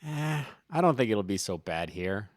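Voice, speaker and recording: A man's voice: on the low side, big pitch swings, moderate pace, no room sound, studio quality. A man acting a loyal, easygoing friend in an audio drama, speaking casually with wry, supportive teasing. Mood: gentle reproach. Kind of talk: naturalistic audio drama dialogue.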